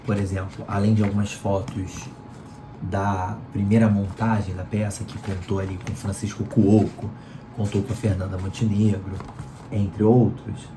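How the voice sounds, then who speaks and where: A man with a Carioca accent, hoarse from a cold, giving a chatty book review. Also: fairly low pitch, little pitch movement, moderate pace, noisy background. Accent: Carioca Brazilian Portuguese. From Rio de Janeiro, Brazil. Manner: chatty, leans on "né?" tags. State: hoarse, sore throat from a cold. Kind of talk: casual book review talk. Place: room at home in Rio. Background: electric fan running.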